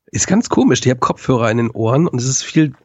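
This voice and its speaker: Ein erkälteter Mann mit norddeutschem Akzent, Co-Gastgeber, der zögerlich und mit vielen Füllwörtern plaudert. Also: male voice, medium pitch, sing-song, fast talker, husky, no room sound, highs cut off. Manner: hesitant, many fillers. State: stuffy nose from a cold. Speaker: podcast co-host. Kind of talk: casual chat.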